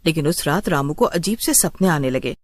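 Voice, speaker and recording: A woman's voice: low voice, animated, moderate pace, no echo, clean studio sound. A woman narrating a scripted horror story in a dramatic storytelling style.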